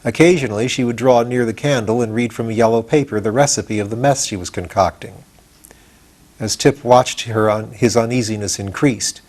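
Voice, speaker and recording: An older man, in his sixties, narrates a storybook. His pitch is average for a man. The reading is expressive, in the style of an audiobook. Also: moderate pace. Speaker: audiobook reader.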